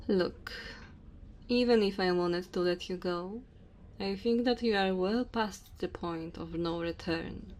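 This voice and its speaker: A woman's voice: lowish voice, takes it slowly, darkish tone. A woman voicing a dominant, teasing immortal dragon woman in an ASMR roleplay, haughty and speaking straight to the listener. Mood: stern, condescending. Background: cave ambience and fire sound effects.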